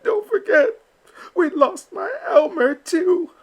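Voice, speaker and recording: A man voicing a worried, tearful mother duck in a storybook read-aloud, high and pleading. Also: lively intonation, slow pace, exaggerated voice. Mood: anguished.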